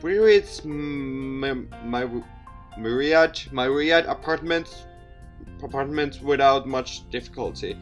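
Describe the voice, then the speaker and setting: A man's voice: mid-range voice, slow pace, faint music behind. A man hosting a let's play, reading visual novel text aloud with commentary and often tripping over words and repeating them. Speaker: gaming video host.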